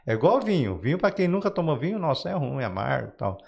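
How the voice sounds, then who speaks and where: A man's voice: a little high, sing-song, fast, gravelly, a little mellow, breathy edge. A man with a Brazilian Portuguese accent, speaking as a guest author in an interview, explaining ideas conversationally through everyday examples with frequent check-in tags.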